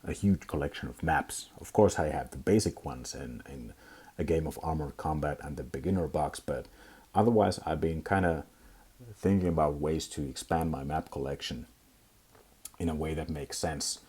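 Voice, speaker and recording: A young Finnish man with a Finnish accent, hosting a casual hobby review vlog, talking in a halting way with many fillers and restarts. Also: a bass voice, darkish tone, breathy edge. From Finland. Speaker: tabletop gaming channel host.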